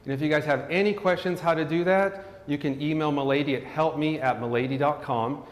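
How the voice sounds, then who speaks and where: A man's voice: a little high, a little fast. A man hosting a live online class, introducing the guest in an upbeat, promotional way. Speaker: host. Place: studio. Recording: echoey studio with long reverb.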